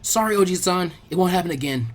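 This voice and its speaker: A man voicing an eager, brash preteen ninja boy in a fan fiction audiobook, with informal, excitable talk. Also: high-pitched, fast. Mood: sheepish.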